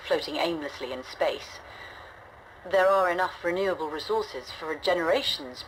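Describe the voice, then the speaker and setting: An actress playing a weary, resolute woman leading a stranded generation ship, recording game audio logs in a measured, grave, reflective manner. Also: lowish voice, slight background noise, low-quality recording. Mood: resigned, troubled. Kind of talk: scripted audio log narration, dramatic game acting.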